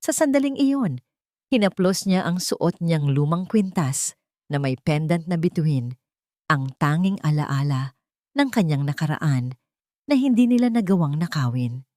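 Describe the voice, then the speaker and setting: A woman's voice: low voice, animated, measured pace, warm tone, dry recording, studio quality. A woman narrating a scripted Tagalog audio drama in a dramatic storytelling style.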